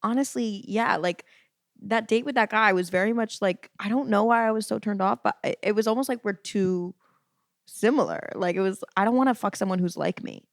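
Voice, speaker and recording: A woman, a comedian guest on a podcast, chatting casually in halting phrases. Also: mid-range voice, gravelly, no echo, studio quality.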